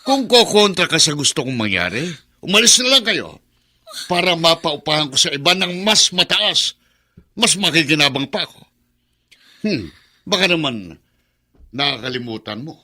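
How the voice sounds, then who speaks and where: A man playing a greedy, domineering middle-aged brother-in-law with a Filipino accent, forceful and sneering in an audio melodrama. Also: on the high side, sing-song, normal speed, long pauses, exaggerated voice. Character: greedy, bullying brother-in-law, domineering older male villain. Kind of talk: melodramatic radio drama acting.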